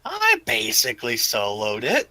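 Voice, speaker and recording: A man's voice: medium pitch, huge pitch swings, unhurried, pushed and bright, no room sound. A man playing a tabletop roleplaying game, talking in casual, cocky, informal banter.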